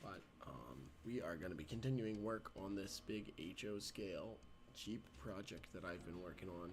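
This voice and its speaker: A man hosting a casual livestream, hoarse and raspy from a recent cold, talking hesitantly with many ums and stopping to clear his throat. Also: low-pitched, moderate pace, noisy background. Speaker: livestream host.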